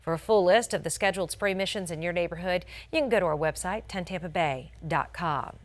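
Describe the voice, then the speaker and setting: A woman's voice: on the low side, a little fast. A woman with an American accent anchoring a scripted local TV newscast in a polished way, stressing key words. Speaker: television news anchor.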